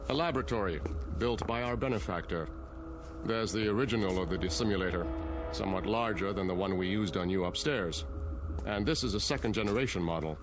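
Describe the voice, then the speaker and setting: An actor playing a calm, formal man in a radio drama who politely explains and quietly threatens as guardian of secret science. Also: on the low side, fairly quick, over music, close to an everyday voice. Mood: smooth, explanatory.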